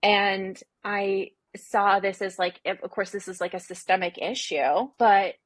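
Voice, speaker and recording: A woman's voice: medium pitch, fairly even intonation, measured pace, no echo. A woman from Singapore talking candidly and informally as an interview guest, reflecting and voicing her inner dialogue. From grew up in Singapore. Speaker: interview guest, therapist and former teacher.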